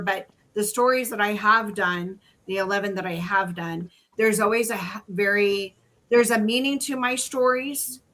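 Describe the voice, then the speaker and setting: A woman's voice: mid-range voice, pushed and bright. Earnest rambling woman podcast host. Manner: earnest, rambling, searches for words. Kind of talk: casual podcast chat.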